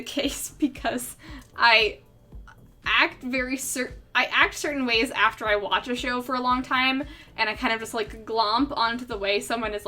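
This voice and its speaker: A young woman talks casually to her stream viewers in a bright, lightly raised voice. Her talk rambles, packed with fillers, and laughter often breaks in. Soft music plays faintly behind her. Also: on the high side. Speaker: artist streamer. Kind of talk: casual livestream chat with viewers. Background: faint background music.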